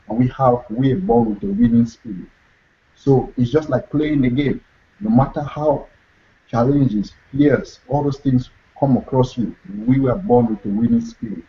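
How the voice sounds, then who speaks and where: A man's voice: medium pitch, normal speed. A young man from Cameroon, talking earnestly as an interview guest and repeating his key phrases. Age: young adult. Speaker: guest author. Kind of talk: motivational interview talk. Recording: dull lo-fi recording.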